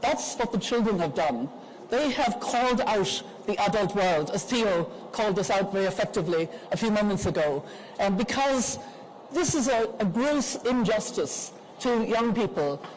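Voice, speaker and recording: An older woman, a grandmother, moderating a conference panel in an earnest, measured way with frequent pauses. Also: mid-range voice, expressive, darkish tone, a little hiss or hum, poor audio.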